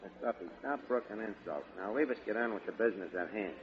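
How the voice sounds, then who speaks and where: An actor playing a brash, streetwise schemer in 1940s radio comedy, with mangled grammar and stumbling hesitations, on a dull old recording. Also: male voice, on the low side, quick pace, lightly acted. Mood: bossy, fumbling. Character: brash, malapropism-prone male schemer and wise guy.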